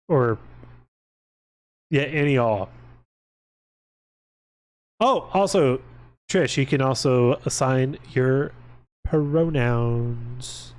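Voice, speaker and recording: Male streamer, mid-range voice, unhurried pace, loose sweary rambling, casual and exclamatory. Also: medium pitch. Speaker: livestream host. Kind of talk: casual livestream chat.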